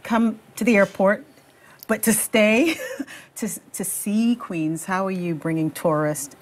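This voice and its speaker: A woman's voice: mid-range voice, measured pace. A woman radio interviewer who asks questions and backs the guest up with many short affirmations. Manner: encouraging, frequent affirmations.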